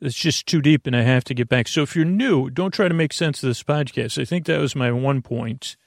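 A man with a mid-low, crackly voice hosts a sleep podcast, recorded dry with no room sound. He improvises as he goes, stumbling over repeats and drifting into silly tangents. Sentences meander and trail off. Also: fairly quick, gravelly, no echo. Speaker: podcast host.

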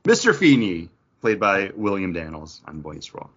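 A man reads quiz questions and chats casually as host. His voice sits low but swings widely in pitch. The sound is a little dull and lo-fi, like an internet call. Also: huge pitch swings, moderate pace, fairly bright tone. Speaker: podcast host running a trivia quiz. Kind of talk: casual chat, quizmaster reading questions. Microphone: online voice call.

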